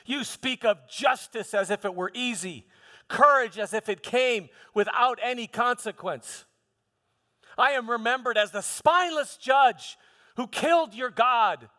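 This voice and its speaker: A man playing a proud, defensive Roman governor in a live church monologue, declaiming emphatically with halting repeated words. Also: sits high, sharp tone. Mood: defensive, indignant. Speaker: preacher performing a dramatic monologue. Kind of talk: first-person theatrical church monologue. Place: large hall, live church performance. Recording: long hall reverb.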